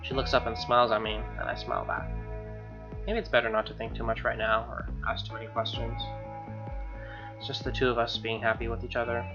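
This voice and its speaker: A man's voice: mid-range voice, flat delivery, brisk, lo-fi sound, over music. A man reading a visual novel aloud as a gamer, mixing narration with informal, slangy asides. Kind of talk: dramatic reading with casual asides.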